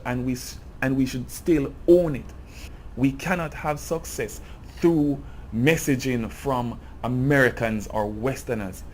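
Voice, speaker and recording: A man's voice: medium pitch, slight background noise. A Jamaican man giving an earnest, impassioned cultural commentary in a video essay. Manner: earnest, grows impassioned and emphatic.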